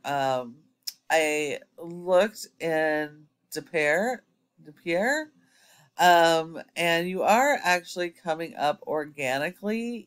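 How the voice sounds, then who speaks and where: A man's voice: fairly high pitch, clear, resonant tone. Man talking through an SEO audit. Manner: explanatory, with frequent ums. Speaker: SEO consultant. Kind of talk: screen-recorded website audit walkthrough.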